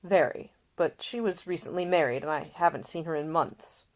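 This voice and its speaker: A woman voicing a seasick gentleman in a storybook, weary, gentle and affectionate. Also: sits low, moderate pace, lightly acted. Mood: weary, tender.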